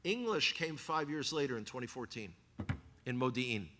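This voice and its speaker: Bronx guy on a handheld mic at church, bright sharp voice, lively and joking. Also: medium pitch, normal speed, a little hiss or hum. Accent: Bronx New York. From New York, USA. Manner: animated, joking asides to the congregation. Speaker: guest speaker, former tour guide. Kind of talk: sermon-style talk. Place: church hall. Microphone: handheld microphone.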